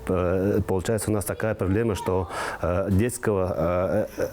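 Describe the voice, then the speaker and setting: Guy from tuva, native tuvan speaker, really low voice, lots of uhs, hunting for words in an interview. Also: steady tone, dark tone. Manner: halting, searching for words, constant hesitation sounds. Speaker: project organizer being interviewed. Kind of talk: unscripted TV interview.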